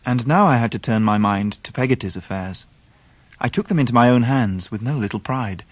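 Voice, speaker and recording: Dry, close English gentleman narrator, played by an actor. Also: mid-range voice, no echo, lightly acted, low-quality recording. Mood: measured. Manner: measured, literary, wry. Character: genteel, wry Victorian gentleman recalling his youth. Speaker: narrator in character. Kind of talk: first-person radio drama narration.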